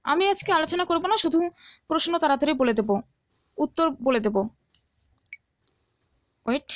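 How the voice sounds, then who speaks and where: A woman's voice: high-pitched, no echo. A woman teaching as a tutor, reading out exam questions and answers and often checking that listeners follow.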